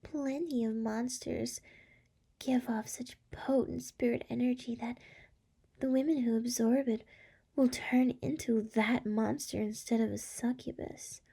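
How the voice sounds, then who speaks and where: A woman voicing a seductive, tender demon lord in an intimate ASMR roleplay, speaking softly and coaxingly with a breathy, often whispering voice. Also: fairly high pitch, fairly even intonation, exaggerated voice. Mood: coaxing, solemn. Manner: slow, soft, coaxing. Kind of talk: scripted ASMR roleplay, intimate address to listener.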